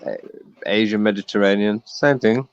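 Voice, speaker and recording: A man's voice: lowish voice, fairly even intonation, moderate pace, clear, resonant tone, dull audio. A man on a live panel who cuts in bluntly, calling out "yo" and "man" to get his question heard. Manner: blunt, repeats "yo" and "man" to break in. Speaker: panel participant. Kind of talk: heated debate on a live call-in panel.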